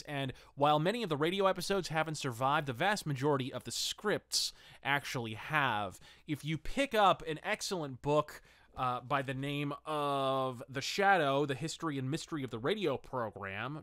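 A man's voice: medium pitch, a bit bright, dry recording, clean studio sound. A man hosting a review podcast, enthusiastic and semi-scripted, mixing ornate, wordy phrasing with blunt asides.

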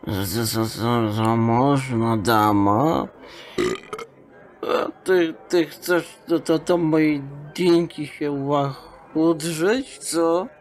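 Man playing a very slow, stammering drunk nobleman. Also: on the high side, faint music behind. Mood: suspicious. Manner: stammering, slurred drunken mumbling with groans. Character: drunk wealthy nobleman, muddled, suspicious, pining for his lady. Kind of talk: audio drama acting.

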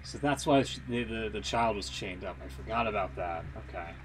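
A man's voice: lowish voice, brisk, background noise. A man giving casual, off-the-cuff commentary on a game live stream. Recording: music behind the voice. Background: music.

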